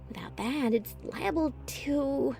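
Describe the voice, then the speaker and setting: A woman's voice: sits high, takes it slowly, gravelly, dark tone, faint music behind, exaggerated voice. A woman voicing a stern, proud young unicorn mare sorceress in a fan audio drama, clipped and commanding. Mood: worried.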